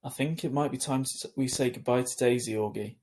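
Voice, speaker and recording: A man voicing a gentle, sorrowful mother in a children's novel, speaking softly, tenderly and with some hesitation. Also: medium pitch, a little fast, lightly acted. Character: gentle, sorrowful mother breaking sad news. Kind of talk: children's novel read aloud.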